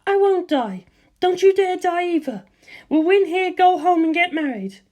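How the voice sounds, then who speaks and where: A man's voice: very high-pitched, lively intonation, measured pace, a little mellow, pitched above the performer's own voice. A man voicing a bold, cheerful young woman fighter who talks in a confident, teasing way.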